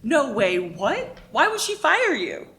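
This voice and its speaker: A woman playing an excitable, sentimental young co-worker in a live radio play. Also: high voice, slow, exaggerated voice. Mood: shocked.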